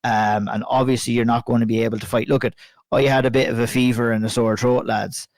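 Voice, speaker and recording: An Irish man hosting a casual podcast chat, a bit hoarse and croaky from a flu, chatty and sweary. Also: medium pitch, level tone, fairly quick, a little mellow, no echo, clean studio sound. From Ireland. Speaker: podcast host.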